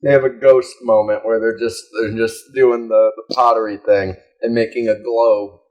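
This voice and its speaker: A man's voice: lowish voice, level tone, normal speed, dull audio. A man co-hosting a casual comedy podcast, joking crudely and irreverently in loose conversation. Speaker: podcast co-host.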